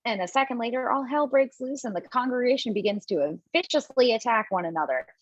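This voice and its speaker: A woman's voice: a little high, expressive, a little fast, dry recording. Woman narrating a script, dry dull audio. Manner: stumbles over words while reading. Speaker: stage directions reader. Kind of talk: scripted narration at a table read. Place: remote online table read.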